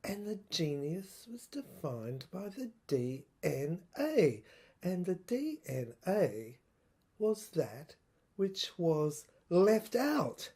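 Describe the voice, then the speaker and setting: A woman playing a troubled infantry soldier in an audio drama monologue, speaking in halting, deliberate phrases with a husky voice. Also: lowish voice, very animated, dark tone, full character voice. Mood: grave, revelatory. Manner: halting, broken phrases with deliberate emphasis and repetition. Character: troubled infantry soldier piecing together a secret government document.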